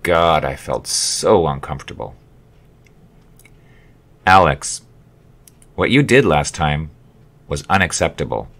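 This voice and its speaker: A man narrating his own memoir as an audiobook, with expressive, wry storytelling. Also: rumbling low, slow pace, long pauses. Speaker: author reading own memoir.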